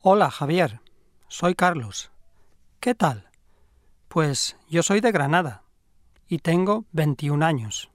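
A man's voice: fairly high pitch, lively intonation, slow pace, long pauses, no echo, studio quality. A man playing a friendly young man in a scripted language-lesson dialogue, speaking carefully. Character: friendly young man from Granada, aged twenty-one.

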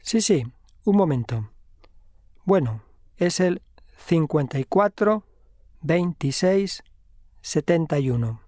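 A friendly young man in a learner dialogue. He speaks slowly and deliberately, and his words are clear. The studio sound is dry and close. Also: fairly high pitch, expressive, a little mellow, dry recording. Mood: helpful. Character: friendly young man, helpful hotel worker. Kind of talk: slow scripted dialogue for learners.

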